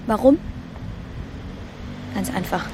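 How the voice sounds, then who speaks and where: Clear car noise lies behind a woman acting a hurt, frightened young woman in a radio drama. Her voice has a medium pitch. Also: slow, close to an everyday voice. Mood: hurt, rejecting.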